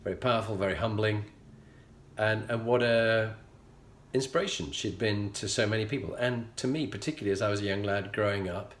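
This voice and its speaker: A middle-aged man with a Yorkshire accent, telling a personal story as a show host in an earnest, measured way with frequent pauses. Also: lowish voice. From England. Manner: earnest, measured, pausing often. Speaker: leadership coach and show host. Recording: echoey room.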